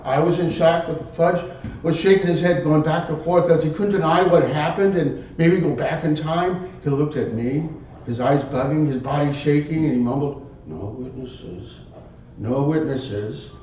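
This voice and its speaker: Casting: male amateur stage storyteller, higher-set voice, dramatic halting delivery, muffled roomy recording. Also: warm tone. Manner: theatrical, halting phrases, acted outbursts. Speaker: contest speaker telling a tall tale. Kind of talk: live dramatic storytelling speech. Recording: very echoey room, distant muffled mic, rumble.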